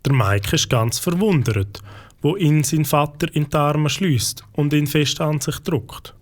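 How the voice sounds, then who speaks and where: A man with a Swiss German accent narrating a children's audio story calmly. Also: on the high side, a little slow.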